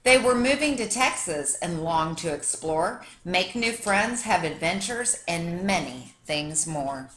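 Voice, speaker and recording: A woman reading a children's picture book aloud like a teacher, with expressive, dramatic storytelling for young listeners. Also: lowish voice, slow pace, hardly any pauses, a little hiss or hum.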